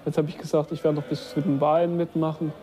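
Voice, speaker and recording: Monotone young man, slightly high, soft-toned. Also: fast talker. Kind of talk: personal account, casual interview talk.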